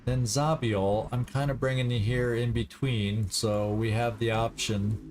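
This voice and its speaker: A man giving casual commentary on a strategy game, thinking aloud in a deliberate way as he plays. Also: medium pitch, steady tone, a little mellow, slight background noise. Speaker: gaming video host. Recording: game music behind the voice. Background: video game music.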